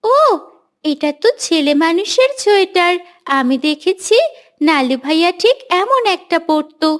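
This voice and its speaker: A sweet little cartoon girl. The voice is extremely high and childlike, with the wheedling manner of a younger sister in a moral tale. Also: very animated, measured pace, exaggerated voice. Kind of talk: animated Islamic moral story voice acting.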